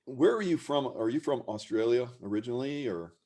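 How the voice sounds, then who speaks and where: A man hosting an interview, reacting and reflecting in a relaxed, affirming way. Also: mid-range voice, brisk. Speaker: host. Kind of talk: casual interview talk.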